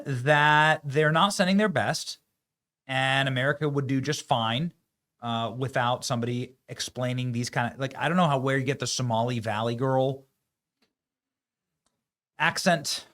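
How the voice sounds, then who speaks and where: A man delivers online political commentary in a sarcastic, mocking tone. His voice sits in an ordinary middle pitch, and he leans hard on the words he wants to ridicule. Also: unhurried, a bit bright.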